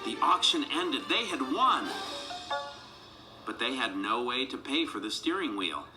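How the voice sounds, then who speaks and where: A man narrating a children's read-along storybook in an animated, dramatic storytelling manner. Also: medium pitch, a little slow. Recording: music behind the voice.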